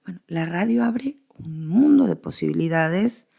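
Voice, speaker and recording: A woman with an Argentine accent talks through history as an interviewed expert. Her voice sits a little low and carries a clear creak. She explains with animation, circling back on phrases to stress them. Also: lively intonation, measured pace, dark tone. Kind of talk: conversational scholarly interview.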